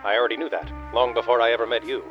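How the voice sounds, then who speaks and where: A man's voice: medium pitch, fairly even intonation, rapid-fire, natural voice. A man playing a cynical, boneheaded private detective in a comic noir radio play, blustering in wordy tough-guy slang. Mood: irritated. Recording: dull radio-style sound with faint music.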